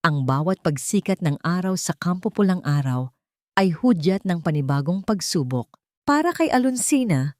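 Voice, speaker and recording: Woman narrator, Filipino accent, lower-pitched voice, scripted dramatic storytelling, dry close sound. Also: dry recording.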